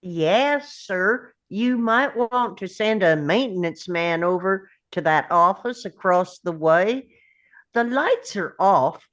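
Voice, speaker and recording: A woman acting a polite, simple Southern character in a table read of a film script, with an unhurried delivery. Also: mid-range voice. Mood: polite, plain. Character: Forrest, polite simple Southern man; later his doting Mama.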